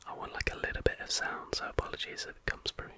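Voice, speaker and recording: A British ASMR host whispering casual, chatty reaction commentary in a hushed, informal, rambling way. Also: fast talker.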